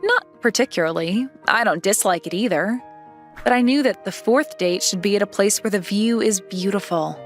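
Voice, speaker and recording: Woman acting schoolgirl love interest, manga dub, mid-pitched, reserved, playful, coy, faint music underneath. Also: moderate pace, close to an everyday voice. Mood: expectant.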